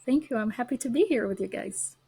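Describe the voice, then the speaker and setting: A woman's voice: on the high side, a little fast, warm tone, breathy edge. Brazilian-accented woman telling stories. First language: Portuguese. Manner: earnest, storytelling, with frequent fillers and restarts. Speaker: guest. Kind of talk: casual interview.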